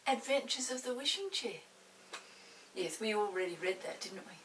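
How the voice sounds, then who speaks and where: A woman's voice: mid-range voice, animated, moderate pace, fairly bright tone. An older woman, a grandmother hosting storytime, reading to children with simple explanations and chatty questions. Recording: hissy, distant room microphone.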